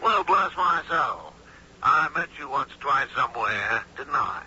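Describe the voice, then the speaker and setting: A man's voice: mid-range voice, very animated, measured pace, bright, forceful tone, slight background noise, exaggerated voice. An actor playing a pompous, muddled upper-crust board chairman in a 1970s radio drama, blustering and sputtering. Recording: dull 1970s radio recording.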